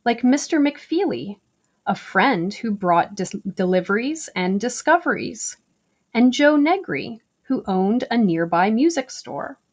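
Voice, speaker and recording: A woman reading a picture book aloud for children, with deliberate phrasing. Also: fairly high pitch, big pitch swings, darkish tone. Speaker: story reader. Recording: slightly dull, lo-fi recording.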